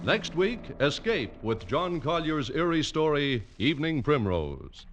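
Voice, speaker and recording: A man's voice: a little high, sing-song, a little slow, highs cut off. An American man as a 1940s network radio announcer, reading scripted credits in a formal, polished manner. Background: faint music.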